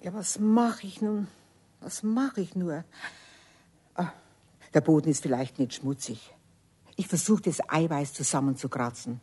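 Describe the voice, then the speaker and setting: A woman's voice: low voice, unhurried, long pauses, mellow, husky. An actress playing a chatty, fussing Bavarian cleaning woman in a children's radio play. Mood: flustered. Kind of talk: 1970s German children's radio play acting.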